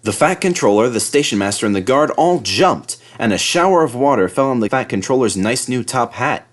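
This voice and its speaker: Lively man narrating a children's story. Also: mid-range voice, fairly quick. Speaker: storybook reader.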